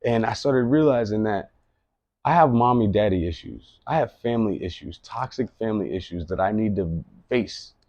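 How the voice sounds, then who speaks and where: A man's voice: lowish voice, expressive, moderate pace, a little mellow, no room sound, dull audio. A man in his late thirties giving an earnest, rambling personal monologue, often repeating himself. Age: late 30s. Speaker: vlogger.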